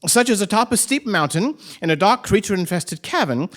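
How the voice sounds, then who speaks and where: A man's voice: sits high, lively intonation, brisk. A man playing a naive, eager and pedantic bookish sidekick in a comic play performed on stage. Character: naive, starstruck, pedantic male sidekick with a book. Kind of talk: comic stage acting before a live audience. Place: theatre stage, live performance.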